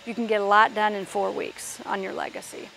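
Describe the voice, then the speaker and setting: A woman's voice: mid-range voice, little pitch movement, a little fast, gravelly, a bit bright, low-quality recording. A woman guiding a walking tour of an aircraft workshop, explaining parts as she points them out. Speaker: factory tour guide. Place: large aircraft workshop indoors.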